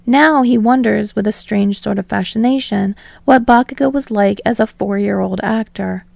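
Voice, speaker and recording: A woman narrates scripted fiction as an audiobook reader. Her voice has audible creak and sits at a medium pitch, recorded very dry. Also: moderate pace, a little mellow, no room sound.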